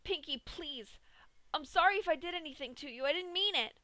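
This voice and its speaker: A woman's voice: high voice, lively intonation, a little fast, sharp tone, no room sound, voice pushed up. A woman voicing a brash young female pegasus pony who pleads in panic. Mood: pleading.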